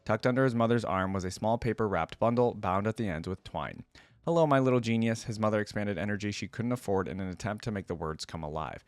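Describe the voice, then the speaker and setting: Male reaction host, lowish voice, casual storytelling and fan commentary, dry recording. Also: fast, dry recording. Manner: casual, slangy asides.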